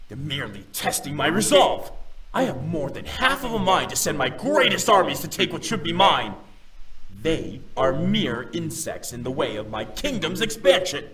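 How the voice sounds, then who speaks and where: A ruthless demon king for a visual novel, snarling and imperious, with a fairly high pitch that swings widely. He treats everyone beneath him with scorn. The sound is distant, with crowd-like noise behind it. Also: big pitch swings, moderate pace. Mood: furious, imperious. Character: large, ruthless, arrogant male demon king, quick to anger. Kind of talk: visual novel game voice acting.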